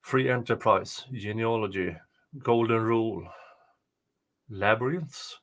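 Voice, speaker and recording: A man's voice: fairly low pitch, slow pace, fairly bright tone, a little hiss or hum. A man chatting casually as a co-host. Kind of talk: casual chat.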